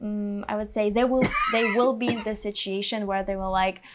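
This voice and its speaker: Young woman in her 20s, non-native english, medium pitch, chatting casually as an interview guest. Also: fairly even intonation, normal speed, background noise. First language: non-native English speaker. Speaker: guest, English teaching video creator.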